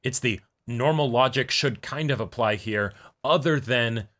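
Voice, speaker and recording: A man's voice: medium pitch, measured pace, fairly bright tone. A man co-hosting a reaction show, discussing things in a casual, analytical, conversational way.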